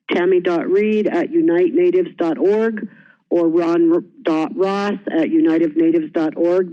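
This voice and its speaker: A woman's voice: fairly low pitch, dark tone. A woman giving an earnest, encouraging webinar presentation for an elder-care group. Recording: muffled, dry conference audio.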